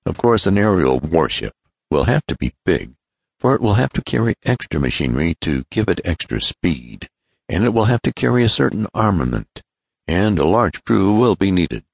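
A man's voice: deep voice, big pitch swings, moderate pace, creaky, breathy edge, no room sound, clean studio sound, pitched above the performer's own voice, exaggerated voice. A man voicing an earnest, confident young male inventor in an adventure storybook, explaining things keenly. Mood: explaining, assured. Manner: explanatory, keen.

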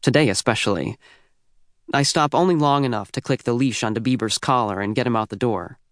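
A man's voice: mid-range voice, brisk, a bit bright, no room sound, clean studio sound. A man with an American accent narrating a first-person teen audiobook in a youthful, wry, conversational way.

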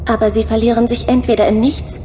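A woman voicing a female onboard computer in an audio drama. She speaks softly and earnestly, lonely and longing to have a body. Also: on the high side, flat delivery, quick pace, natural voice. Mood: troubled. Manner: soft-spoken, earnest, searching. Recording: music underscore behind voice.

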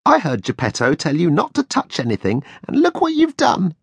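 A man's voice: on the high side, huge pitch swings, moderate pace, gravelly, breathy edge, dry recording, pitched above the performer's own voice, heavily acted. A man voicing a small, centuries-old male cricket who scolds and lectures. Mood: scolding. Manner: scolding, preachy.

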